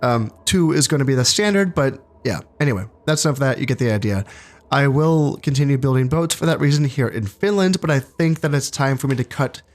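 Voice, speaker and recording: A man talks through his strategy game campaign with a stuffed-up, congested voice. He sounds a little low on energy, thinking aloud in a relaxed way at an ordinary, middling pitch. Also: a little fast. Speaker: gaming channel host. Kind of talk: casual strategy game commentary.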